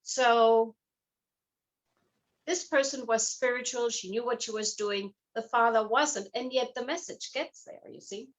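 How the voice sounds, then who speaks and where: A woman's voice: on the high side, fairly even intonation, unhurried, rough recording. A woman speaking as an interview guest in a chatty, conversational way, explaining things through personal anecdotes. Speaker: guest, hypnotherapist and life coach.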